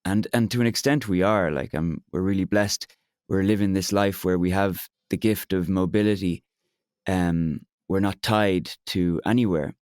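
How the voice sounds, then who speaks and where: A young man from Ireland with an Irish accent, a bit hoarse today, talking openly in a therapy podcast and pausing often with fillers and restarts. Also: sits low, a little mellow, no room sound, studio quality. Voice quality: hesitant, many fillers and restarts. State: slightly hoarse from shouting. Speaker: podcast co-host, client. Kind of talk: candid therapy conversation.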